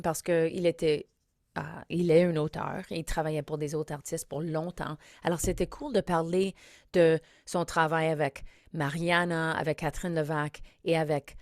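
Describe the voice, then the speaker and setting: Chatty low-voiced woman podcast host. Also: a little mellow, dry recording, clean studio sound. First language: English. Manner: chatty, switches into English phrases, frequent fillers. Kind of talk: casual podcast intro and interview. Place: studio.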